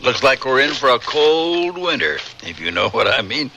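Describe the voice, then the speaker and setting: An actor playing a brisk, wry, knowing frontier newspaperman in a radio drama. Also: medium pitch, sing-song, background noise.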